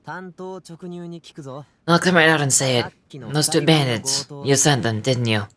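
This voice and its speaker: Young game guard: energetic, sharp, casual, straight to the point. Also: male voice, a little high, takes it slowly. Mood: suspicious, confident. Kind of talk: video game dialogue.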